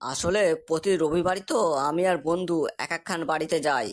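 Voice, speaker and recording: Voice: mid-range voice, brisk, lightly acted. Someone doing a cocky young cartoon guy, bragging and pushy, breezy colloquial dialect. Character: boastful, cocky young man exaggerating to impress his friend's prospective father-in-law. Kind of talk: comic cartoon dialogue.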